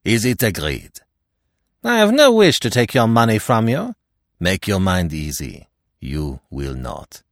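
A man's voice: low-pitched, very animated, a little slow, no echo, heavily acted. Shrewd Belgian detective done by a male narrator, courteous, precise, self-assured, varied intonation, close dry sound. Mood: teasing, assured.

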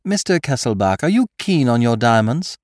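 A man voicing a triumphant, mocking gentleman thief in an audiobook, theatrical and commanding, firing off questions and taunts. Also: medium pitch, big pitch swings, moderate pace, no room sound, studio quality, pitched above the performer's own voice. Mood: brisk, scheming. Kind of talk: dramatised audiobook character voice.

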